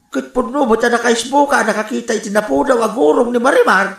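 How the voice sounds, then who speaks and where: A man's voice: extremely high pitch, fast talker, gravelly, roomy sound, exaggerated voice. A man with an Ilocano accent playing an exasperated father who scolds and teases his son in a radio comedy drama. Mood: teasing. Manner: scolding, teasing, animated.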